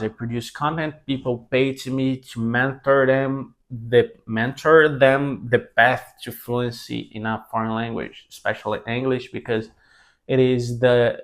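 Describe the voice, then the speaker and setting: A young man with a Brazilian Portuguese accent speaks to the camera in easy, unscripted talk. His English is not his first language, so he pauses and restarts often. His voice sits at an ordinary middle pitch. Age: 20s. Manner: halting, many restarts and self-corrections. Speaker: language mentor.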